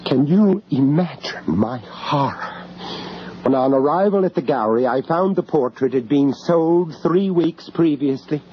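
A man acting a haunted, anxious British art dealer, narrating a radio horror tale with grave, halting dramatic delivery. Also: fairly high pitch, lively intonation. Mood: alarmed resolve. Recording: dull, noisy old radio recording.

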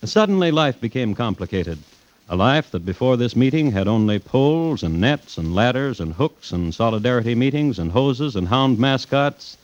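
A man's voice: medium pitch, lively intonation, moderate pace, no echo, lightly acted. A man narrating a classic radio drama as a wry, sentimental storyteller. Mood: wistful, dramatic. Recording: muffled old radio broadcast. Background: music.

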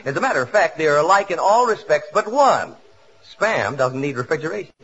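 An actor in a 1940s radio comedy playing a genial, glib pitchman sidekick. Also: sing-song, fairly quick. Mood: hearty, effusive. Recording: muffled, faintly noisy 1940s radio.

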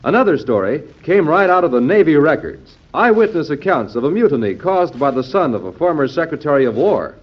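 Animated radio announcer, male. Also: fairly high pitch, a little fast, few pauses, breathy edge. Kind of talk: scripted old-time radio narration. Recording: dull, band-limited old radio recording.

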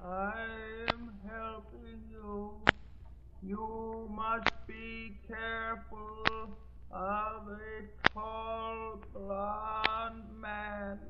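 A male actor playing the spirit of a dead man at a radio seance, speaking in a solemn, drawn-out way on an old recording. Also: high voice, narrow, tinny audio, noisy background. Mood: solemn, foreboding. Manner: slow, solemn, drawn-out delivery. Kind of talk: 1940s radio acting.

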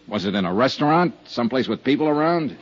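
Tough american 50s radio detective, blunt skeptical questioning, lively pitch, bit rough voiced, muffled old tape. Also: male voice, quick pace. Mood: pressing, impatient. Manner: blunt, pressing interrogator. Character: hard-nosed, skeptical male private insurance investigator. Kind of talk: 1950s radio detective acting. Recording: dull old 1950s radio recording.

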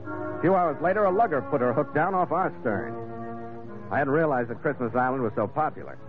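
A man's voice: mid-range voice, expressive, brisk, natural voice. An actor playing a wry, terse ship's captain who narrates his adventure in an old-time radio drama. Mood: atmospheric, measured. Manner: terse, dry, hard-boiled. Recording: tinny vintage radio, music behind.

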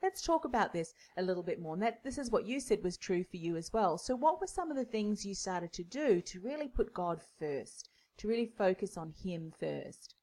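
A woman's voice: mid-range voice, big pitch swings, moderate pace, hardly any pauses, dark tone. A woman hosting a faith podcast, affirming her guest and speaking in long, flowing, encouraging thoughts. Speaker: podcast host. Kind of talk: interview conversation.

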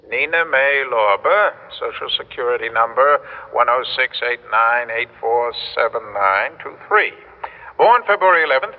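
An actor playing an earnest, insistent official in a radio drama, speaking formally and urgently on a dull, reverberant old recording. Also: male voice, mid-range voice, close to an everyday voice. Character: earnest, insistent male official calling from the future. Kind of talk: classic radio drama acting.

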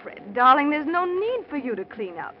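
An actress playing a prim, practical wealthy wife in a 1940s radio drama, earnest and disapproving. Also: female voice, high voice, sing-song, normal speed. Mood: coaxing, firm. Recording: noisy muffled old radio recording.

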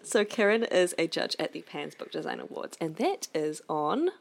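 A woman with a croaky voice, co-hosting a casual book podcast and rambling with many fillers. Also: low-pitched, lively intonation, moderate pace. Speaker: podcast co-host. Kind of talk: casual chat.

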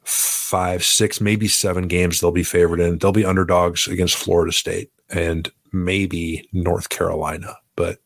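A man co-hosting a sports betting podcast, measured and analytical as he weighs the odds. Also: deep voice, level tone, vocal fry, no room sound. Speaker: podcast co-host. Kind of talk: casual sports betting chat.

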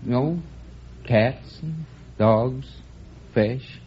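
A man from Michigan, a pet shop owner, answers a quiz host hesitantly, pausing with lots of uhs. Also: medium pitch, a little hiss or hum. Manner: halting, hesitant, full of pauses and uhs. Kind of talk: unscripted quiz show answers. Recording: lo-fi vintage radio broadcast.

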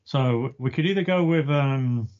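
A man's voice: medium pitch, a little slow, darkish tone, muffled recording, a little hiss or hum. A man co-hosting a casual podcast chat, talking in a playful, teasing way. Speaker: podcast co-host.

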